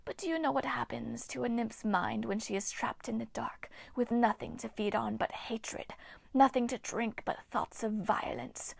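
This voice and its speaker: A woman voicing a bitter, ancient female water nymph in a slow, hissing whisper. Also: on the high side, creaky, no echo. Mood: menacing.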